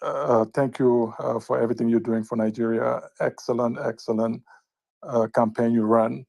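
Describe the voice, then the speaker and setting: A man with a Francophone West African accent and a gravelly, husky voice. His pitch stays fairly flat as he puts a long impromptu question, halting often and repeating words. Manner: halting, searching for words, repetitive. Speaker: audience questioner.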